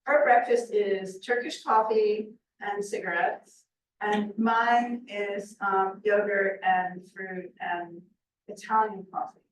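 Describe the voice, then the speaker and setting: An older woman answering questions on a video call in a chatty way, laughing often and trailing off softly. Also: mid-range voice, steady tone, slow. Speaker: presenter. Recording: echoey room from far off, faint noise.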